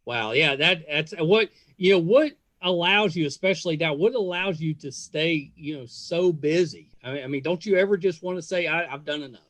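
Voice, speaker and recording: Effusive, halting male podcast interviewer. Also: fairly high pitch, fairly bright tone, dry recording. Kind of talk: casual interview.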